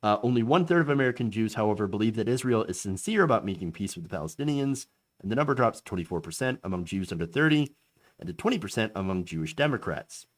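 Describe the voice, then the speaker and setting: Animated man talking politics. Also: quick pace. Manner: lively pitch with frequent fillers and restarts; reads quotes aloud with raised pitch. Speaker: political commentary host. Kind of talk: casual political commentary.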